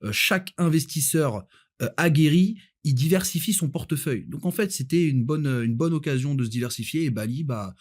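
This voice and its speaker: A man's voice: a little high, lively intonation, fairly quick. A man speaking as a podcast guest and property adviser, in a casual, blunt, colloquial way, often repeating himself. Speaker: guest, Dubai-based real estate adviser.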